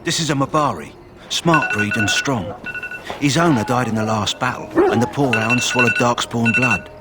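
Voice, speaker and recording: A man's voice: mid-range voice, moderate pace, natural voice. A man playing an earnest, kindly kennel master in a video game, plain-spoken and concerned for his hounds. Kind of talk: video game dialogue acting. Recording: game music and dog sounds behind.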